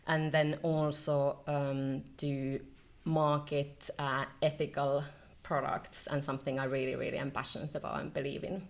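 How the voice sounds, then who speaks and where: A middle-aged woman from Finland, a company director being interviewed, speaking in a measured way with many "um"s between phrases. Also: low voice, level tone. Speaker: marketing and e-commerce director, guest. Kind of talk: casual interview.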